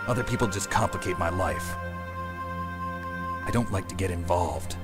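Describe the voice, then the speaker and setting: An actor playing a terse, aloof soldier in a video game, speaking in a gruff, clipped way. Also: male voice, normal speed, loud music behind the voice, natural voice. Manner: gruff, clipped and low-key. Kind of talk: video game cutscene acting. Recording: loud game score behind.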